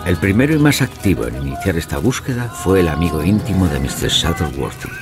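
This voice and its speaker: A man's voice: sits low, big pitch swings, a little fast. An actor playing a self-assured, pompous male storyteller, narrating a mystery audio drama in theatrical style. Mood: grave, knowing. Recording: music behind the voice.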